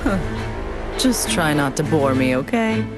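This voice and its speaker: A woman's voice: low voice, expressive, a little slow, over loud music. A woman voicing a cool, bored, confident female android fighter in an anime game, with a dry, dismissive manner. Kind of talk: anime game cutscene acting.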